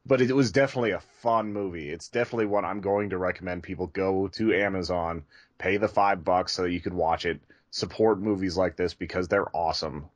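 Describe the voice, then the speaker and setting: A man's voice: deep voice, fairly even intonation, a little fast, no room sound, highs cut off. A man co-hosting a film review podcast, giving his opinions in an easygoing, casual conversational way. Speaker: podcast co-host reviewing films. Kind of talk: casual movie review chat.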